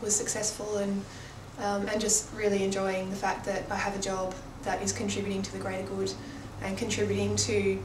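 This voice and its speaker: Earnest, even-toned woman. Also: mid-range voice, fairly quick, few pauses. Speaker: human rights organisation staffer. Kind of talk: personal interview account. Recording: echoey, distant mic, noisy background.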